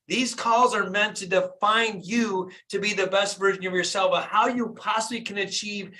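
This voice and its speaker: Hyped-up, bright-voiced man in his fifties. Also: high voice, moderate pace. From Flint, Michigan, USA. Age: 50s. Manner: energetic, hyped, repeats "right?" and asks the listeners questions. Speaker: dentist and business coach hosting a live call. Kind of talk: motivational live-stream talk.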